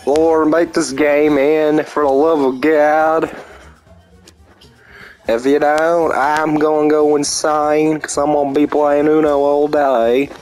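Young male gamer, drawling and unhurried, goofy self-mocking chatter, light music bed. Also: on the high side, darkish tone. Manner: rambling, self-mocking, breaks into silly sing-song chants. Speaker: gameplay commentator. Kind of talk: casual gameplay commentary.

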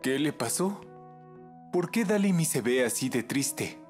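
Slow-talking mild cartoon dad played by a man. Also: medium pitch, expressive, faint music behind, natural voice. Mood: concerned. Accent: Latin American Spanish. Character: mild, hesitant young father coming home. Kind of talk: children's animated dubbing.

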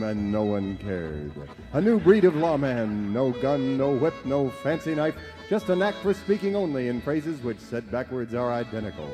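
A man announcing in an over-the-top movie-trailer style for a radio comedy. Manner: dramatic, booming announcer. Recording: reverberant stage with music.

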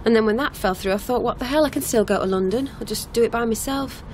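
A woman's voice: on the high side, a little mellow, lightly acted. Actress as a flustered young woman hitch-hiker, car noise. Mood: hesitant, frustrated. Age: young adult. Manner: hesitant, halting. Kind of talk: naturalistic radio drama acting. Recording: clear vehicle noise behind. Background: car interior ambience, engine noise.

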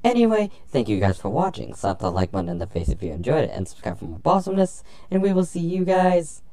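A man's voice: high-pitched, wildly swooping pitch, fast talker, gravelly, warm tone. A man giving exclamatory, exaggerated commentary as a video creator. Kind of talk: animated commentary with sign-off.

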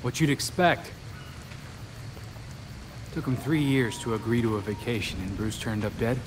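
A man's voice: mid-range voice, normal speed, background noise, natural voice. An actor playing a frank young hero leading his team in a game, matter-of-fact with a wry edge. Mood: matter-of-fact, subdued. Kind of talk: cinematic game dialogue.

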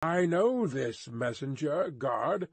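Storybook gentleman, read by a man: mild, courteous, slightly quavering, slow and careful. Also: on the high side, lively intonation, breathy edge, no echo, full character voice. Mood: anxious, polite. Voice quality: mildly quavering. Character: mild, polite gentleman coach passenger, anxious yet composed.

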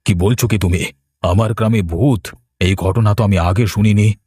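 A man playing an elderly, weary village gentleman in a horror cartoon. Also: fairly low pitch, fairly even intonation, moderate pace, slightly rough, no echo, clean studio sound. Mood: shocked. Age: older. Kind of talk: Bengali horror cartoon dialogue.

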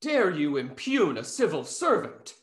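A man's voice: on the high side, big pitch swings, unhurried. A man playing a snarky, self-important society gossip, arch and pompous, in a Restoration comedy reading. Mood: arch, gossipy. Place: small indoor room on a video call. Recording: echoey room over video call.

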